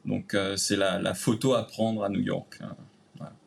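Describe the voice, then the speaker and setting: A man from Réunion talks in a low, gravelly voice with a Réunionese lilt. He speaks casually and haltingly, with lots of "euh" between his words. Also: flat delivery. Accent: Réunionese French. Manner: hesitant, many "euh" fillers, creole-tinged phrasing. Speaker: guest. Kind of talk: casual interview chat.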